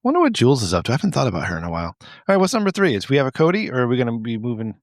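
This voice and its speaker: A man tells stories as a podcast co-host, casual and comic, repeating phrases to drive them home. His pitch is average for a man but moves around a lot, giving him an animated delivery. The sound is dry, and he swears now and then. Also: racing, dry recording. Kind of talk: casual comic banter and storytelling.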